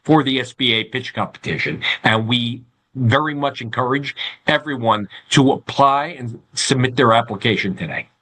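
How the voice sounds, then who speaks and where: A man with a Long Island accent answers interview questions like a public official. His phrasing is formal and measured, and he often hesitates as he puts together informative, promotional answers. His pitch sits in an ordinary middle range. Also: fairly bright tone. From Long Island, New York, United States. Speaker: government agency regional administrator, interview guest.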